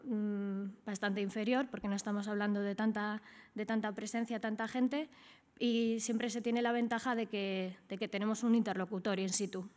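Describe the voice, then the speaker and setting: A woman's voice: mid-range voice, level tone, moderate pace, a little mellow. A middle-aged woman with an accent from Spain, giving a business presentation as a conference speaker in a conversational way, often checking in with short tag questions. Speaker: trade office director, conference speaker. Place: indoor conference stage at a lectern.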